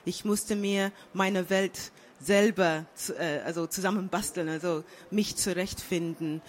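A woman's voice: lowish voice, steady tone, unhurried, slight background noise. London-born woman, hesitant panel guest. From England. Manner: thoughtful, many fillers and restarts. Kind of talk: panel discussion.

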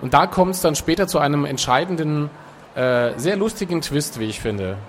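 A man with a slightly raised voice talks loosely about his work, filling his pauses with little hesitations. He sounds relaxed and conversational. A faint background hiss sits under the voice. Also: on the high side. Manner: relaxed, chatty, with frequent filler sounds. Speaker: author and guest. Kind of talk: casual interview talk.

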